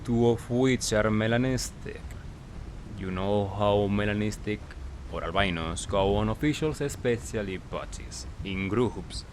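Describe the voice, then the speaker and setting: Low-voiced man commentating, noisy recording. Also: a little slow. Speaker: gaming video creator. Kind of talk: casual recap commentary over gameplay.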